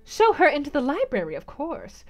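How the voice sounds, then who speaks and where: A woman's voice: sits high, wildly swooping pitch, normal speed, pitched above the performer's own voice. A woman voicing a haughty, willful young aristocratic woman who gives commands. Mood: commanding. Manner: imperious and commanding.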